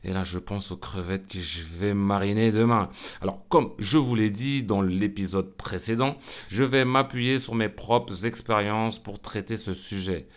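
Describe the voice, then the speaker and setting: A middle-aged man hosting a solo podcast, joking and chatty, full of asides and self-mockery. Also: measured pace. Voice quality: smooth low voice. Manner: playful, self-mocking, lots of asides and "hein". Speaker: podcast host. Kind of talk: casual solo podcast monologue.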